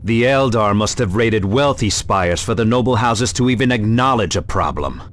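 An actor playing a seasoned space marine officer with a dry, cynical manner in a video game. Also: male voice, lowish voice, expressive, normal speed, slight background noise, lightly acted. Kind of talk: scripted video game dialogue.

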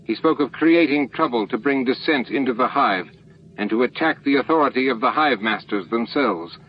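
A man's voice: mid-range voice, expressive, normal speed, husky, pitched above the performer's own voice. A man voicing a deferential young alien worker in an audiobook, giving formal statements. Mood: dutiful testimony. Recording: dull, noisy lo-fi book-on-tape recording.

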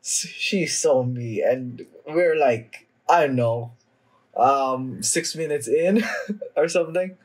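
A young man giving casual, off-the-cuff reaction commentary in a halting way, trailing off mid-thought. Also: mid-range voice, husky, low-quality recording. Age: young adult. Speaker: anime reaction vlogger. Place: small home room, indoors.

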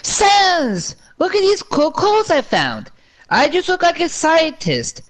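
A woman voicing an excitable, boastful male skeleton in a cartoon comic dub. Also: very high voice, slow pace, full character voice. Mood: proud.

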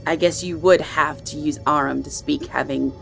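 Audio drama matriarch, female actor: low voice, cool authority, dangerous and fiercely protective of her own. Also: steady tone, vocal fry, soft background music. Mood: cold, defiant. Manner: measured, cool, imperious. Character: commanding, protective supernatural mother, dangerous and impatient. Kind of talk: audio roleplay drama acting.

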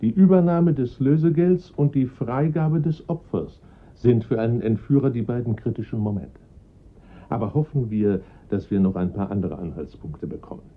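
Actor as a husky, formal police inspector, tinny narrow sound, radio drama. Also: male voice, mid-range voice, lightly acted. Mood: measured. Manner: measured, formal, reassuring. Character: calm, dutiful middle-aged male police inspector.

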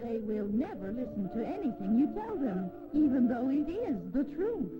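A woman narrating a fable for children as a storyteller, in an expressive, deliberate, scripted style. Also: on the high side, phone-quality sound. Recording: noisy old 16mm educational film soundtrack.

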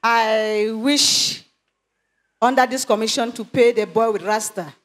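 A woman's voice: on the high side, fairly even intonation, unhurried. A woman pastor making a formal, polite pledge into a handheld microphone in a large church hall. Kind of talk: public pledge.

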